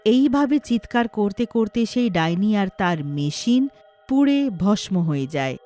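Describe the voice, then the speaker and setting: A woman's voice: mid-range voice, lively intonation, measured pace, dark tone, faint music behind. A woman narrating a scripted moral horror tale as a storyteller.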